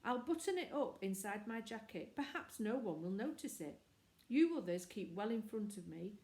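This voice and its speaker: A woman's voice: mid-range voice, sing-song, moderate pace, warm tone. Bossy, plucky schoolboy ringleader, played by a woman reader, urgent storybook manner, echoing room. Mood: urgent, planning. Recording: echoey room.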